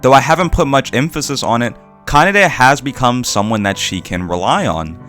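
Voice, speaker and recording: A man narrating a scripted video essay in a reflective, measured way with deliberate pauses. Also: mid-range voice, lively intonation, fairly bright tone. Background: background music.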